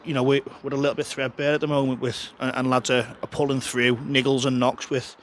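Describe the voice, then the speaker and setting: Man, 30s, football manager, candid and chatty, mid-pitched, recorded pitchside with faint outdoor hum. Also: level tone, a little fast, fairly bright tone. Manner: frank, chatty, frequent restarts and fillers. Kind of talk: post-match interview. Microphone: handheld interviewer mic held close.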